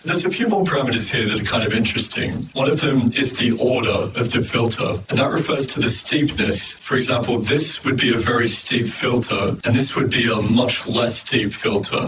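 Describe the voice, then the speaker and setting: A man's voice: mid-range voice, recorded from a distance. Hissy vocoded man, synthetic and noisy. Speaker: music production tutorial host. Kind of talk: instructional screen-recording narration.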